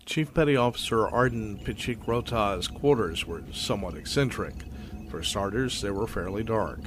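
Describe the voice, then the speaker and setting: Low-voiced male narrator over background noise. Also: moderate pace. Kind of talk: scripted audio drama narration.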